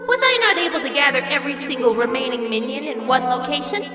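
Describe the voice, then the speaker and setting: A woman voicing a young female hedgehog possessed by dark energy, squeaky and theatrical in an audiobook drama. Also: animated. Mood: boastful, taunting. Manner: theatrical, drawn-out gloating. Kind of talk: fan audiobook voice acting. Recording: echoey hall reverb, music underneath.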